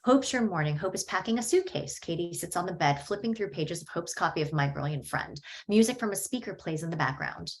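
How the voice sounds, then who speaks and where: A woman reading a screenplay's stage directions aloud at a table read in a plain, measured way. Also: fairly low pitch, expressive.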